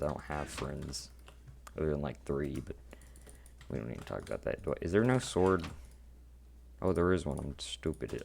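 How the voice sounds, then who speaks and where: Very deep, gravelly teen gamer voice. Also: male voice, a little hiss or hum. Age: teens. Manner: rambling, self-deprecating, awkward. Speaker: gaming channel host. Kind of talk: casual gameplay commentary.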